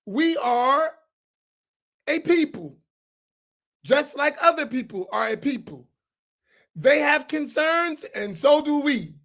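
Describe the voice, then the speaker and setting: A man's voice: very high-pitched, lively intonation, slow pace, pauses often, a bit bright. A middle-aged man from the United States, an imam speaking on a roundtable panel in an emphatic, preacherly way, often checking in with the audience with rhetorical questions.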